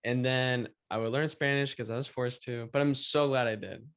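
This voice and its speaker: A young man from Venezuela hosts in a relaxed, easygoing tone with a medium-pitched voice. He fills his sentences with "like" and small restarts, chatting casually. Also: normal speed, clean tone. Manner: relaxed, filler-heavy with lots of "like". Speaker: host. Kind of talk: casual interview chat.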